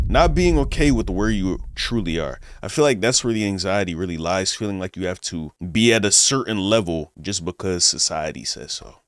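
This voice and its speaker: Male reaction host, deeper than most, dry studio-close audio, casual motivational slang. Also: dry recording. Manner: laid-back slang, says "bro" and "gang" often; encouraging, motivational. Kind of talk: casual commentary.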